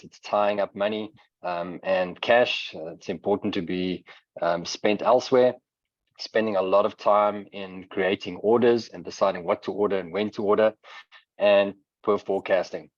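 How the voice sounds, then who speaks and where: South African man, very low voice, dry dull lo-fi webinar audio, steady explanatory presenter, lots of ums. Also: dry recording. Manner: steady explanatory delivery, hesitant fillers. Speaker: software presenter. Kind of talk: webinar presentation with software demo.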